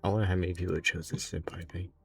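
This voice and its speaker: A man's voice: deep voice, flat delivery, very fast, creaky, breathy edge. A man giving casual live reaction commentary as a game streamer. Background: game music.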